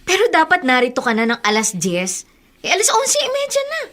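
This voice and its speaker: An actress playing a strict, protective, worried older sister in a Tagalog radio drama, questioning earnestly with a Filipino accent. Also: female voice, extremely high pitch, wildly swooping pitch, fairly quick, fairly bright tone, exaggerated voice. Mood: suspicious.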